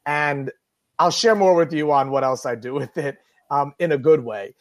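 A man's voice: a little high, moderate pace, no echo, clean studio sound, faint music behind. A man hosting a radio talk show, chatting casually and earnestly to listeners in a rambling, unscripted way that repeats phrases for emphasis.

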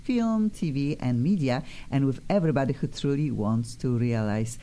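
A woman's voice: a bass voice, lively intonation, normal speed, warm tone, slight background noise. A woman hosting a studio talk show, chatty and effusive, often restarting her sentences. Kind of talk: lively studio chat.

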